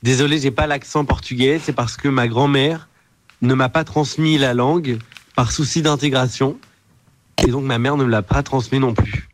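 A man's voice: medium pitch, steady tone, moderate pace. A man leaving a recorded voice message, speaking earnestly and personally. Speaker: podcast producer.

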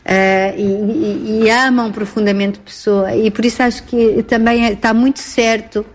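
A woman's voice: a little high, fairly even intonation, measured pace. A Portuguese woman with a European Portuguese accent, an actress speaking thoughtfully with frequent hesitations. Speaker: actress, guest. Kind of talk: interview conversation.

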